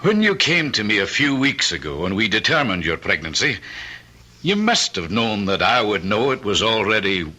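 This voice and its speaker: An actor playing a paternal, gently firm family doctor in an old radio horror drama, theatrical and a little patronizing. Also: male voice, lowish voice, very animated, moderate pace, exaggerated voice. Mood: regretful, firm. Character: paternal, regretful, pragmatic male family doctor.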